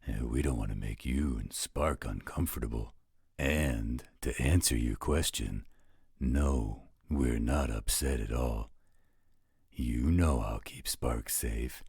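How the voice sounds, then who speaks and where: A man playing a protective, teasing werewolf guardian in a roleplay audio drama, speaking with calm, affectionate assurance. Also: very deep voice, husky, no room sound, studio quality, heavily acted.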